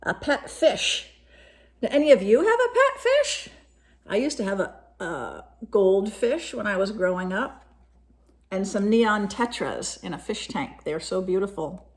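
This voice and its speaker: A woman reading a picture book aloud as a storyteller, animated and pausing to explain things and put questions to young listeners. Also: mid-range voice. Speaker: storyteller, teacher reading to children.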